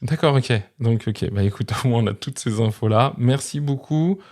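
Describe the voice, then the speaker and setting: A man's voice: mid-range voice, moderate pace, a little mellow. A man hosting a tech review, explaining gear casually and conversationally while speaking straight to his viewers. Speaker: host of a tech review channel. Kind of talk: casual product demonstration to camera.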